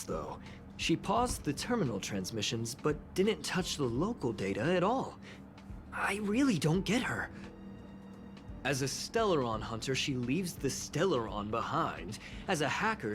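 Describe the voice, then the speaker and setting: Excitable young investigator in a space game, swoopy animated delivery, music behind. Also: medium pitch, a little slow. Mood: puzzled, uneasy. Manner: animated, pondering aloud. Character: excitable young space station investigator puzzling over hacker clues. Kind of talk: scripted game dialogue. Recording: background music under dialogue.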